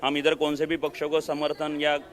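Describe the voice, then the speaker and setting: A man's voice: fairly high pitch, monotone, brisk. A man, a priest answering unscripted in a street news interview.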